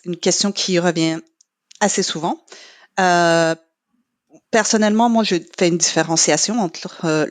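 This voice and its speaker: A middle-aged woman whose first language is English, a podcast guest explaining her work in a measured way with many pauses. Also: on the low side, dry recording. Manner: measured, with frequent "euh" pauses. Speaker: guest, training marketing manager. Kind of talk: podcast interview, explaining at length.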